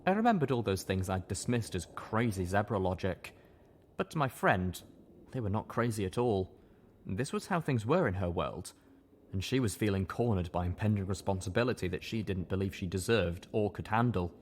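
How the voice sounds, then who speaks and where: A man's voice: lowish voice, expressive, normal speed, slight background noise. A man reading a story aloud as first-person narration.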